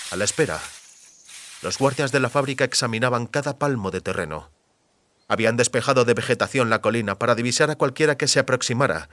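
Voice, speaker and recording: A man with a Castilian Spanish accent narrating a dramatized audiobook in a measured, deliberate storytelling manner. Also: mid-range voice, a bit bright, no echo. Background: music under the voice.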